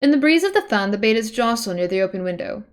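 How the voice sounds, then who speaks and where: A woman's voice: a little high, very animated, fast. A woman streamer reading a webcomic aloud, mixing dramatic narration with casual, chatty asides.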